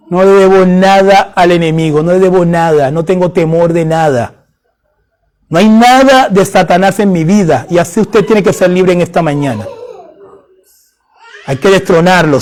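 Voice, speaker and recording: A male preacher from Haiti with a high-pitched voice delivers a fervent sermon. He hammers his points home by repeating phrases and rises into emphatic prayer. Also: darkish tone.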